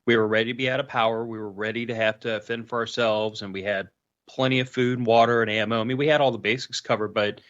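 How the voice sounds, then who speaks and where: A man's voice: lowish voice, fairly even intonation, fast, a bit bright, lo-fi sound. A middle-aged man explaining his experiences casually, in a frank, folksy way. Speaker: podcast guest, prepper.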